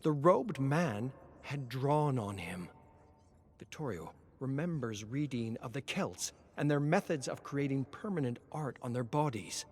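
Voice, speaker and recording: Slow, theatrical male story narrator. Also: mid-range voice, lively intonation, a little hiss or hum. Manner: theatrical, deliberate, swinging between hushed and intense. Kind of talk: dramatic scripted story narration.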